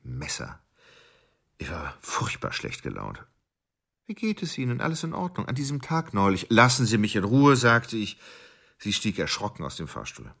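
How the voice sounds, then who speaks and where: A man's voice: fairly low pitch, huge pitch swings, normal speed, gravelly, a bit bright, heavily acted. An actor in a German radio drama playing a cold, irritable married man with murderous urges, narrating with sardonic self-absorption. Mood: menacing, irritable.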